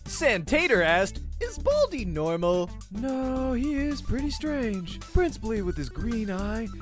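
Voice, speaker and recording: Woman acting a high-voiced, playful cartoon sidekick. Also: sing-song, a little slow, over music. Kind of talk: cartoon comic dub.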